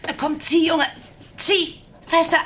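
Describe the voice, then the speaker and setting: A woman's voice: extremely high pitch, level tone, measured pace, a bit bright, slight background noise. A woman playing a weary, bossy waitress mother, nagging and complaining, in a German radio drama. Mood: straining, bossy. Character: weary, bossy working-class mother, a waitress with sore feet.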